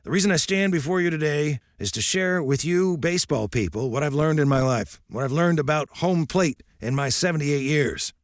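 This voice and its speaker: A man voicing a gruff, fiery old baseball coach who barks and bellows at a crowd. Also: a little high, normal speed, few pauses, no room sound, clean studio sound, voice pushed up, natural voice. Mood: barking. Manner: barking, bellowing.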